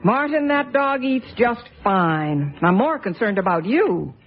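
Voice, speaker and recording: A strict but caring mom, lively up-and-down voice, muffled old radio sound. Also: female voice, mid-range voice, measured pace. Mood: firm. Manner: firm, scolding, motherly. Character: worried mother of a sick boy, firm but caring. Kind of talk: radio drama acting. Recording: dull lo-fi old radio recording.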